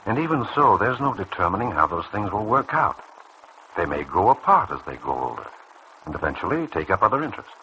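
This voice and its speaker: A man acting in a vintage radio soap as a calm, earnest doctor who probes and persuades in a measured way. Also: low voice, lo-fi sound, exaggerated voice. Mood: persuasive, reassuring. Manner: measured, probing, earnest delivery. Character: calm, earnest, persuasive male doctor counselling a younger colleague. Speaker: radio serial actor. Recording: noisy old radio drama recording.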